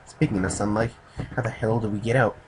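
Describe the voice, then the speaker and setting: Male reader as sardonic, easygoing story character, low pitch, casual delivery, noisy recording. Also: fairly even intonation, fairly quick, close to an everyday voice. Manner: casual, wry. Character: laid-back, sardonic man in a survival story. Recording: clearly noisy home recording.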